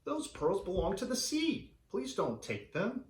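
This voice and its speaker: A man's voice: sits high, wildly swooping pitch, measured pace, echoey room, distant mic, voice pushed up, exaggerated voice. A man voicing protective, pleading mermaids in a storybook, crying out plaintively.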